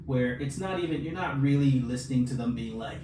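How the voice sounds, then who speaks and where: A man's voice: mid-range voice, fairly quick. A man co-hosting a podcast in casual, playful, slangy banter about books with a friend. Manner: playful, slangy, acts out quoted lines. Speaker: podcast co-host. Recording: echoey room, distant mic, clear noise.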